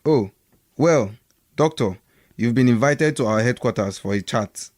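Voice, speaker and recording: A man's voice: medium pitch, measured pace, a little mellow, no echo, natural voice. An actor plays a male Nigerian police detective in a radio drama. His mid-range voice is formal and coolly official, pressing his point, recorded very dry.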